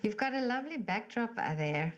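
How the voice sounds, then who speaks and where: A woman's voice: on the high side, animated, a little fast, lo-fi sound. A woman interviewing a guest over a video call, effusive and complimentary, with many fillers.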